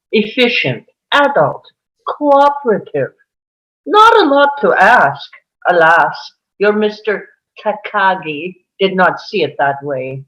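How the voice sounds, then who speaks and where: A woman playing a cold, commanding terrorist leader in a script table read, speaking formally, measured and menacing, on dull sound. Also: medium pitch, fairly bright tone.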